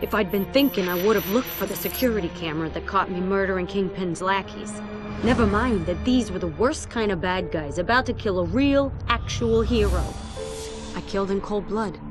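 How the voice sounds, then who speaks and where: An actress playing a guilt-ridden but resolute vigilante in a video game, serious and terse. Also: female voice, medium pitch, animated, normal speed, over music, lightly acted. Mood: remorseful, resolute.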